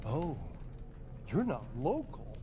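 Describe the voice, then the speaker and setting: A mocking, menacing villain from a video game. He taunts in a very slow drawl with lively swings in a high-pitched voice. Loud game noise surrounds him. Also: exaggerated voice. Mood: mocking, amused. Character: menacing, mocking male villain toying with his captive. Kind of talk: video game cutscene acting. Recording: loud game sound over the voice.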